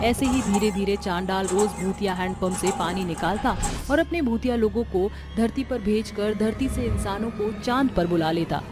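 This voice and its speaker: A narrator delivers a scripted tale in a medium-pitched voice. Music plays clearly behind the narration.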